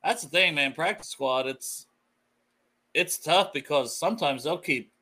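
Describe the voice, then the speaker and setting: A man's voice: a little high, a little slow, pushed and bright. A man with a Southern US accent co-hosting a podcast, chatting loosely and laughing through banter. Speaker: co-host. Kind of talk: casual podcast chat.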